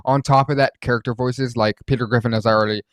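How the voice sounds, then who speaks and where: A man presents a software walkthrough with a mid-pitched voice. He talks informally and rambles a little as he explains. The recording is dry, with no room sound around him. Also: steady tone, fast, dry recording. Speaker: host reviewing voice changer software.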